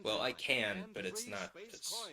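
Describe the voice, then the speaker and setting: A man's voice: lowish voice, sing-song, a little slow, crowd noise. A man chatting casually as he works on hand sewing, rambling along with wry, playful asides. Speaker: livestream host.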